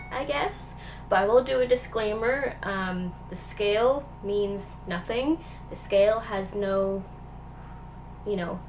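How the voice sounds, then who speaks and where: A young woman talking straight to her viewers in a loose, rambling way, full of ums and likes. Her voice sounds stuffy and nasal from a cold. A steady hiss of background noise sits under the recording. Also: mid-range voice. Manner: rambling, filler-heavy, chatty. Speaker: vlogger. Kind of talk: casual chat.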